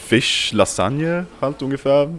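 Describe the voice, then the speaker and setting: A man's voice: mid-range voice, animated, takes it slowly, fairly bright tone. Hesitant young man from Sweden. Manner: hesitant speech in a second language, many fillers. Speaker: Erasmus student. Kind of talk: interview answers.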